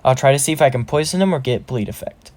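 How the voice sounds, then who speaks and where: A man's voice: mid-range voice, quick pace, darkish tone, close to an everyday voice. A man voicing a confident, cheeky young male adventurer in a casual, plain-spoken way for a fantasy story. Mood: planning.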